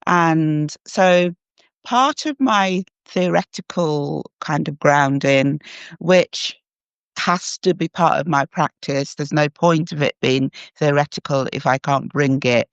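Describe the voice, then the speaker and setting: A woman from Jamaica, a psychotherapist guest, answering in a measured, thoughtful way with pauses and hesitations. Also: deep voice, clean tone, no room sound, dull audio. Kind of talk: reflective interview answer.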